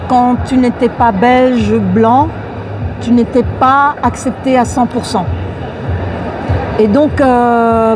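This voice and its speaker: A woman's voice: fairly high pitch, rough recording. A woman from Belgium, a musician guest, telling her story in a hesitant, filler-filled casual way. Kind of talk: casual interview. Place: outdoors at an urban site. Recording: outdoor recording with crowd behind.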